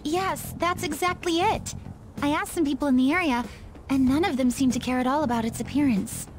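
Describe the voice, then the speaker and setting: A woman's voice: sits high, fairly quick, soft background music, natural voice. A woman voicing an earnest, polite teenage schoolgirl in a video game, a little hesitant.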